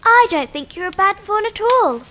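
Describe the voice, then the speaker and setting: A woman's voice: very high-pitched, lively intonation, moderate pace, fairly bright tone, slight background noise. A female performer playing a kind, earnest little girl in a radio drama, polite and direct. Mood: earnest, reassuring.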